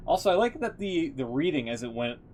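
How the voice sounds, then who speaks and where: A man's voice: on the high side, very animated, a little fast, darkish tone, slight background noise. A man giving casual, rambling live-stream commentary as a game streamer, in an informal way. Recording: music playing behind the voice.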